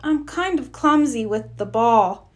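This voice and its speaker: Woman voicing an earnest, high-pitched young Dalmatian pup. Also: expressive, a little slow. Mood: unsure, earnest. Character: earnest, clumsy young male Dalmatian pup, eager to help.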